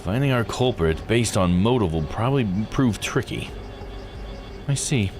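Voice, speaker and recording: Man doing a low, gruff, weary detective. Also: lively intonation, moderate pace, natural voice. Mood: uneasy. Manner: casual, grumbling. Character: gruff, world-weary male police detective. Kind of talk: voicing visual novel dialogue.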